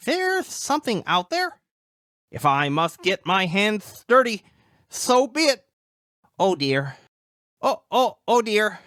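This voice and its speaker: A fussy young academic botanist from a zombie shooter game, acted by a woman. The pitch swings about in a very lively way, and the delivery is wordy and bookish with small stammers. The sound is close and dry. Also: dry recording. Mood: nervous. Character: eager, nerdy, fussy botanist doctoral student in a zombie shooter. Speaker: quest-giving game character. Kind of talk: scripted video game dialogue.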